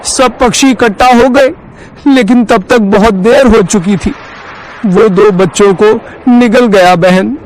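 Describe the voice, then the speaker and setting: A man's voice: high voice, slow pace, poor audio. A man voicing a concerned, brotherly male parrot in a cartoon story, dramatic and grave. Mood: distressed. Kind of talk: Hindi cartoon story dialogue.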